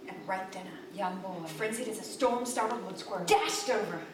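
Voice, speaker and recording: A woman's voice: medium pitch, normal speed. A woman giving a dramatic, poetic scripted story narration live on stage. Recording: distant, echoey, noisy live theater recording.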